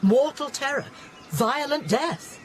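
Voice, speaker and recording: A woman playing a rational, patient scientist in an audio drama, explaining things in a measured, thoughtful way. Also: high-pitched, expressive, slight background noise.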